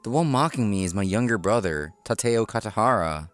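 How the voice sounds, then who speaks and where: Man, lowish pitch, animated intonation, scripted first-person narration, manga story, music behind. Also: fairly quick. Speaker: narrator and lead character.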